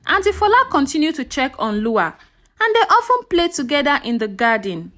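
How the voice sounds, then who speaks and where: A woman's voice: high-pitched, big pitch swings, normal speed, a bit bright. A woman storyteller narrating a scripted folktale in a dramatic, earnest way, speaking directly to her listeners.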